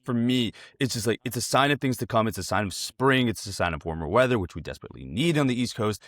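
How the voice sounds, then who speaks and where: A man's voice: medium pitch, animated, fast talker, fairly bright tone, no room sound. An American man hosting a casual interview, talking in a chatty, informal and wordy way. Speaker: interview host.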